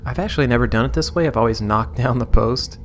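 A man casually commenting on his game as he plays, rambling and amused, a little hoarse. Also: mid-range voice, fast, slight background noise. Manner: rambling, self-amused, sorting inventory aloud. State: slightly hoarse. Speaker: let's play host.

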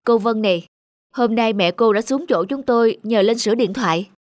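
A woman's voice: a little high, no echo, clean studio sound, natural voice. Woman voicing an earnest, polite repairman. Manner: polite, measured, hesitant. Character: earnest, considerate male phone repairman. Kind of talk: cartoon dubbing.

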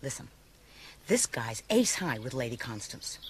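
Brassy American lady crook, very animated. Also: rumbling low, unhurried, exaggerated voice. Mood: sly, scheming. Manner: slangy, knowing. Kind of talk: 1980s radio drama acting. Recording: noisy radio recording with birdsong.

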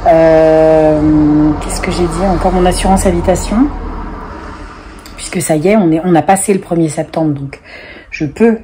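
A woman's voice: low-pitched, a little slow, background noise. A woman in her forties, chatting casually to camera from her sofa, stuffy-nosed from a cold, rambling with many fillers. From France. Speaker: family vlogger, single mother. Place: living room on a sofa, window open to a busy street. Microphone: camera at close range.

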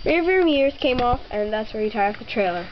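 Young girl, slow and rambling, a bit high, dull home-camera sound, faint hiss. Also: lively intonation. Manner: slow, rambling, with many ums and pauses. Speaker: toy barn tour guide. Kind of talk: casual home video narration. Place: bedroom at home. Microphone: handheld camera mic.

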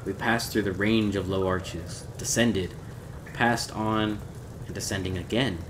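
Man, lowish voice, measured dramatic horror narration, very unhurried, clear background noise. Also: fairly even intonation. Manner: measured, theatrical. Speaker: audiobook reader.

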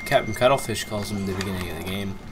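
A man's voice: fairly low pitch, racing, background noise. A slightly nasal young man chatting casually as a co-host beside someone playing a game, with lots of fillers and restarts. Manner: talkative, repeats words, filler-heavy. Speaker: let's play co-host. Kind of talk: casual gameplay chat.

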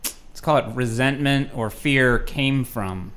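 A man's voice: medium pitch, slow pace, fairly bright tone. An American man interviewing a guest as podcast host, informal and conversational, prompting with short questions. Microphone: podcast microphone on a stand.

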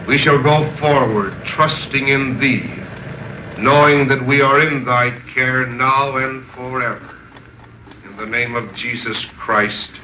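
A man's voice: mid-range voice, reverberant, noisy background. A man, a military chaplain, saying a solemn prayer on an old 1940s archive recording.

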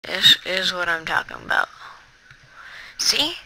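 A rambling Let's Play commentator who chats to viewers while playing. The voice is very bright and sharp, with a gravelly edge. The delivery is slow and unhurried, broken by excitable outbursts. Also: mid-range voice, low-quality recording. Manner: rambling, chatty, talks to viewers. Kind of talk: casual gameplay commentary.

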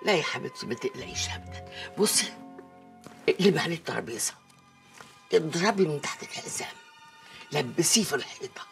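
A woman's voice: low voice, animated, fast talker, vocal fry, pauses often, faint music behind. An older woman with an Egyptian accent acting a bossy, cunning aunt in a TV comedy, drawling and coaxing theatrically. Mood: scheming. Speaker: actor in a TV comedy series. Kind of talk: comic TV drama dialogue. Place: living room set, indoors.

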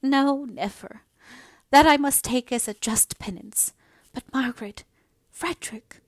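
Ailing middle-aged mother for storybook drama played by a female reader: plaintive, emotional, quick to take offence, raised pitch. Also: no room sound. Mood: sorrowful. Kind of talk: dramatised audiobook dialogue.

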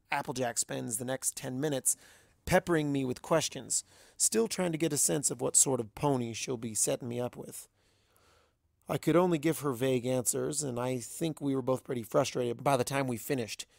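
A man narrates first-person fiction from a script. His mid-pitched voice has a noticeable creak, and the sound is dry and close. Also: dry recording. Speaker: audiobook narrator.

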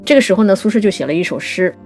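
A woman with a lively, expressive delivery talks through classical literature as a programme co-host. She explains in a conversational way and slows into measured recitation for quoted lines. Soft music plays underneath. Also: medium pitch. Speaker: writer and co-host of a poetry programme.

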